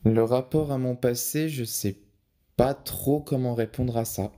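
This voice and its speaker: A man's voice: mid-range voice, fairly even intonation, measured pace, mellow. A young man answering interview questions in a casual, hesitant way, with frequent restarts and fillers. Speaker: podcast guest, photographer.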